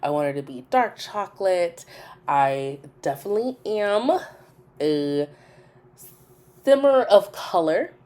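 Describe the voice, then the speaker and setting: A woman's voice: low voice, darkish tone. A woman who sounds nasal and congested with a cold, giving casual, chatty voice-over commentary as a gaming video host and often repeating phrases for emphasis. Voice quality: stuffy, nasal.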